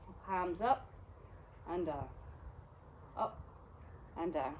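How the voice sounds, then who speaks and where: A woman's voice: lowish voice, lively intonation, slow pace, muffled recording, a little hiss or hum. A woman with an Irish accent teaching a live exercise class, cueing each movement and counting reps with encouragement. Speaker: fitness instructor.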